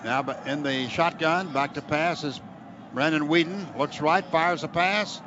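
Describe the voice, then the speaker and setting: A high-pitched American man does live football play-by-play for radio. His calls come in clipped phrases with folksy exclamations. The sound is muffled, with loud stadium noise behind him. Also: unhurried. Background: loud crowd and stadium ambience.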